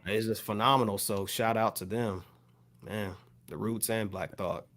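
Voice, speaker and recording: A man's voice: on the low side, steady tone, measured pace, a bit bright. A man co-hosting a podcast, talking casually and appreciatively about hip hop, often repeating words as he goes. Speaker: podcast co-host.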